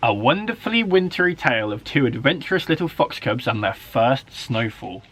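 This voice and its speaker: A man, a primary school teacher, reading a children's story aloud in an expressive, dramatic way. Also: medium pitch, moderate pace. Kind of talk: animated children's story narration.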